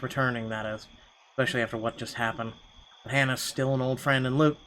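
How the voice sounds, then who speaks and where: A man's voice: mid-range voice, fairly bright tone, a little hiss or hum, low-quality recording. A man reading first-person narration from a game aloud in a dramatic storytelling style. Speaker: gaming channel host reading a visual novel aloud.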